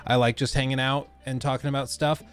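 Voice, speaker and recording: A man's voice: mid-range voice, little pitch movement, brisk, smooth, faint music behind. A young adult man with a stuffed-up nose, hosting a casual live stream and rambling with lots of fillers. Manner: rambling, chatty, many fillers.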